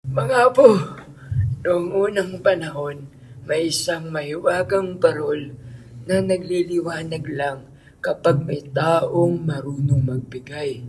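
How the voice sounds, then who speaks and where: A man playing a kindly old village grandfather who tells Christmas legends in a radio drama, with a husky voice. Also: on the high side, takes it slowly, slight background noise, rough recording. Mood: gentle, wise.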